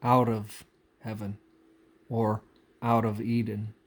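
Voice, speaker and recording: A man giving an unscripted spiritual monologue in halting, deliberate phrases with pauses and repetition. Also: lowish voice, monotone. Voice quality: frequent throat clearing. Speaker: spiritual speaker.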